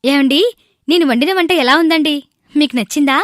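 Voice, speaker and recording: A woman's voice: high voice, a little fast, a bit bright, no room sound, studio quality. A woman playing an eager young newlywed wife who is proud of her cooking, in a cartoon moral story. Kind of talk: animated moral story dialogue.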